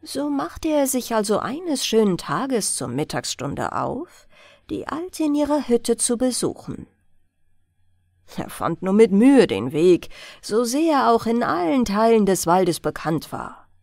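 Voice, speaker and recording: A woman narrating a fairy tale as a bedtime audiobook, in a deliberate, expressive storytelling manner. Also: a little high, gravelly, dry recording.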